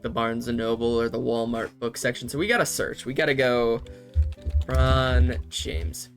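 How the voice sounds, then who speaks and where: A man's voice: mid-range voice, fairly bright tone. A man giving comedic commentary as he reads fanfiction aloud, full of sarcastic, self-interrupting asides and laughs. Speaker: YouTuber reading fanfiction aloud.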